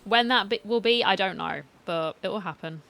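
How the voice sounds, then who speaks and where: A woman's voice: medium pitch, moderate pace, vocal fry, a bit bright. A woman streamer giving casual reaction commentary on a game and reading bits of it aloud, recorded on a close mic.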